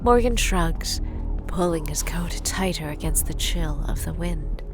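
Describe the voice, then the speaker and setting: A woman's voice: low-pitched, lively intonation, measured pace, over music. A woman narrating an audio drama in composed, scripted present-tense storytelling.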